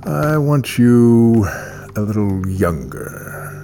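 A man playing a smug, predatory wealthy tycoon in an audio drama, suave, unhurried and commanding. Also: medium pitch, lively intonation, mellow, soft background music. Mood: suave, seductive.

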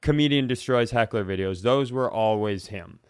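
A man with a smooth, resonant voice, recorded dry and close. He chats casually as a podcast co-host, circling around his point and rephrasing as he goes. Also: mid-range voice, moderate pace, no echo. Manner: rambling, self-correcting, often restating himself. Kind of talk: casual comedy podcast chat.

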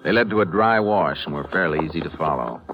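An American actor playing a stern, steady Texas Ranger in a 1950s radio western, terse and businesslike. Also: male voice, extremely low pitch, fast, music in the background. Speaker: actor narrating and playing the lead. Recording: vintage 1950s radio drama recording.

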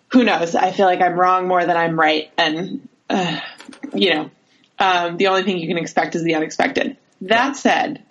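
A woman speaking as a sports reporter on a dull, lo-fi connection, giving casual analysis and haltingly thinking aloud. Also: fairly low pitch, fairly bright tone. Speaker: sports reporter, interview guest.

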